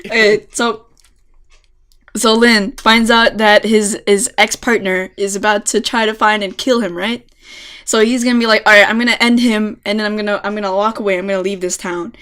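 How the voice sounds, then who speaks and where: A woman chatting casually as a podcast co-host, telling things in an animated, storytelling way. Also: mid-range voice, normal speed, smooth. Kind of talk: casual chat on an anime podcast.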